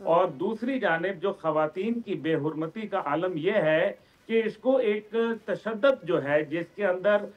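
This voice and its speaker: Male guest pundit, Pakistani accent, high-pitched, emphatic explainer, muffled low-fidelity sound. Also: lively intonation, a little slow, hardly any pauses. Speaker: guest commentator, organisation chairman. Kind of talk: television political commentary.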